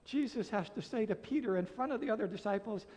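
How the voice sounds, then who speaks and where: An American man in his sixties preaching a sermon as a pastor, earnest and emphatic, phrasing deliberately with pauses. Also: sits high, darkish tone. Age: 60s. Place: church sanctuary, at a pulpit. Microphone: gooseneck pulpit microphone. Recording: reverberant church hall.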